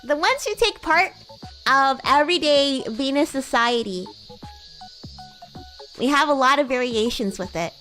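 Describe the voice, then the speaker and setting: Woman playing a high-voiced bratty demon girl. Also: animated, a little slow, long pauses, bright, forceful tone, soft background music, full character voice. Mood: chatty explaining. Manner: playful, giggly, rambling, teasing. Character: bratty, teasing young female demon classmate. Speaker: VTuber streamer. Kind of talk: improvised in-character roleplay livestream chat.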